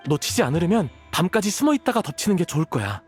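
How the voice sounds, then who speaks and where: A man playing an eager, easily flustered young mercenary scout in a video game, speaking in an earnest, excitable manner. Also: high-pitched, quick pace, faint music behind.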